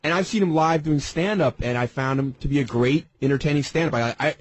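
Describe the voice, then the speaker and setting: Male co-host, slightly high pitch with a husky edge, rambling casual radio chat, repeats his phrases. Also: brisk.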